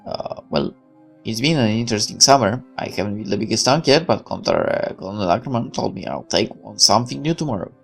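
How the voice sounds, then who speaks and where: Man playing an awkward young soldier, animated pitch. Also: a little fast, creaky, slightly rough, faint music behind, full character voice. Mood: nervous, eager.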